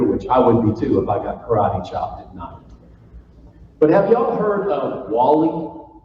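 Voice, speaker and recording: A man's voice: mid-range voice, darkish tone. Guy from florida telling jokey stories on stage, big echoey hall, sounds far off and kinda muffled. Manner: folksy, jokey, playful with the audience. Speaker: keynote speaker. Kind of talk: humorous keynote storytelling to a live audience. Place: large conference hall. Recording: far-off roomy hall, muffled with faint noise.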